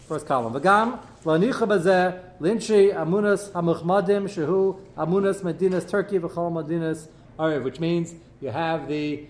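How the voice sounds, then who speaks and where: A man teaching a Torah class in a lively way, reading out Hebrew texts and explaining them to students. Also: sits high, roomy sound. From United States.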